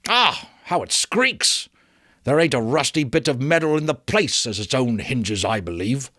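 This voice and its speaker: A man's voice: mid-range voice, big pitch swings, unhurried, bright, forceful tone, no echo, clean studio sound, heavily acted. A man voicing a crafty, jovial old rag-shop dealer in a storybook reading, with a hearty, wheedling manner. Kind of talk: dramatic audiobook character voice.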